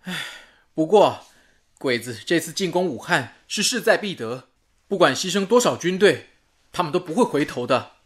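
Man acting a high-voiced, resolute radio worker. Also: expressive, moderate pace, natural voice. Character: steady, resolute wartime radio station colleague.

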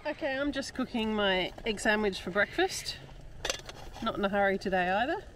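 A middle-aged woman with an Australian accent talks in a casual, upbeat vlog style. Her pitch is average for a woman. She is recorded outdoors on a camera, with clear ambient noise behind her. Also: animated, normal speed. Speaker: solo canoe expedition vlogger. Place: outdoors on a river and riverside campsite.

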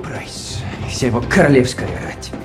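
An actor playing a ruthless, imperious terrorist leader in a military game cutscene, speaking with commanding menace. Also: male voice, mid-range voice, expressive, normal speed, close to an everyday voice. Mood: cold, confident. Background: loud dramatic music.

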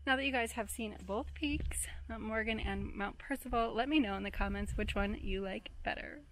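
A woman's voice: a little high, moderate pace, rough recording. A young woman from New Hampshire talking casually to her viewers while walking a forest mountain trail, recorded on a small handheld camera mic outdoors. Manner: chatty, upbeat, addresses viewers directly. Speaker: hiking vlogger. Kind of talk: casual vlog narration to camera.

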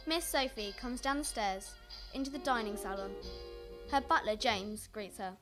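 A woman's voice: on the high side, moderate pace, music in the background. A young woman reading scripted stage narration for a radio play. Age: young adult.

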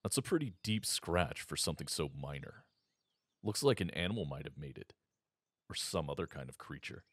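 A man's voice: very deep voice, lively intonation, moderate pace, slightly rough, dry recording, full character voice. An actor playing a stern, suspicious male army sergeant in a full-cast audiobook, speaking curtly and coldly. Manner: curt, cold, commanding.

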